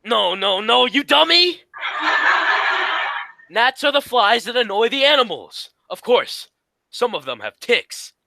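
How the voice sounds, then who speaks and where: A man's voice: extremely high pitch, big pitch swings, a little slow, gravelly, long pauses, sharp tone, dry recording, full character voice. A man playing the exasperated, scolding straight man of an old radio comedy duo, in a 1940s radio acting style. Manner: scolding, insistent.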